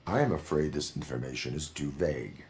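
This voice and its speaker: An actor playing a stoic cyborg policeman in a video game, speaking in a stiff, formal deadpan. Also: rumbling low, fairly quick, faint music behind. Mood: stern, flat.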